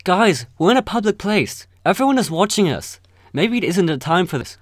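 A man's voice: sits high, sing-song, moderate pace, no room sound, clean studio sound, voice pushed up. A man as an earnest, swooping young puppet. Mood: anxious. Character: earnest, anxious young peace-making sock puppet.

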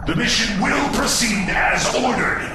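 A man's voice: very high-pitched, measured pace, noisy background. A man playing a ruthless, haughty alien commander in a game, handing down formal military orders. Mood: commanding. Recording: very echoey, distant, loud music underneath.

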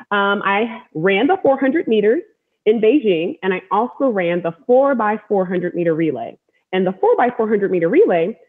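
An American woman speaking encouragingly as host to an online group, repeating words for emphasis, on a video call. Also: medium pitch. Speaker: host, storytelling athlete. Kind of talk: welcoming talk to an online group. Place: room at home. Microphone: wireless earbud on video call. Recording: narrow-band video call audio.

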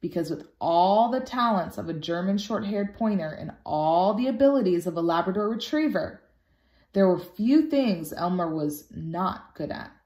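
A woman reading a children's picture book aloud expressively and deliberately, as a storytime teacher. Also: on the low side, lively intonation, mellow. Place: small room at home, indoors. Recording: roomy home recording.

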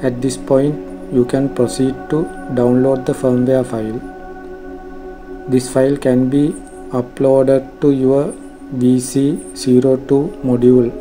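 A man reading scripted tutorial narration in a formal, measured, instructional way. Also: mid-range voice, flat delivery, mellow, faint music behind. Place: voice-over for a screen recording.

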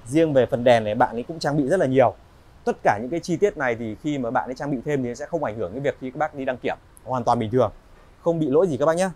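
A man in his thirties walks through a big indoor car showroom, pitching used cars to viewers. He talks in a polite, chatty sales patter at a middle pitch, stopping often on little filler sounds before carrying on. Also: quick pace, darkish tone. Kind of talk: walk-around sales presentation.

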